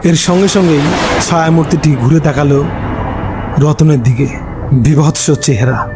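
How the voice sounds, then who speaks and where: A man narrating a scripted horror story in a dramatic, suspenseful way, pausing between short phrases. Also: on the high side. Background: background music.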